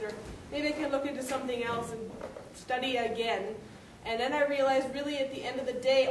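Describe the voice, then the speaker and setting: Panel speaker, woman farmer, bright higher voice, candid, echoey distant hall sound with noise. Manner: candid, lively, laughs often. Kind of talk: symposium panel talk. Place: university lecture hall. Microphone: room microphone at a podium. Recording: echoey hall, far from mic, noisy.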